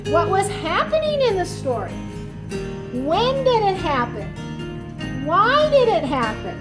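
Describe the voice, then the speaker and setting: A woman storyteller and teacher speaks to young children in a high voice. She goes slowly and expressively, with wide swings in pitch, explaining and posing questions as she goes. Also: loud music behind the voice. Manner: expressive, instructional, asks questions. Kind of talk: children's read-aloud and lesson.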